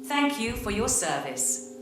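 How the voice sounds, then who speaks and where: Echoing, formal announcer. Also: female voice, on the high side, sing-song, a little slow, noisy background. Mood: formal, detached. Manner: formal, impersonal. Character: impersonal female announcer issuing termination notices. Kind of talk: formal scripted announcement in a video game. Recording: very echoey, music behind.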